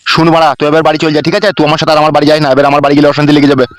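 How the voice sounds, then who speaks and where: A man voicing a crude, henpecked village uncle in a comedy cartoon, gruff and blunt, with a rural West Bengal accent. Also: a little high, flat delivery, very fast, faint music behind, lightly acted. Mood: resigned.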